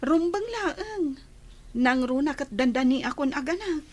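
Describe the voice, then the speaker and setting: An actress with a Filipino accent playing a supportive, caring young wife in a radio drama. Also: female voice, high-pitched, expressive, a little slow. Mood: hopeful.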